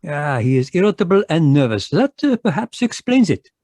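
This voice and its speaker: A man's voice: fairly high pitch, very animated, moderate pace, dark tone, no room sound. A man playing a fussy, precise Belgian detective with a French accent in a radio drama, read live over a video call. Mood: polite, shrewd. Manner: precise, polite, sprinkles French words. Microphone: home microphone on a video call.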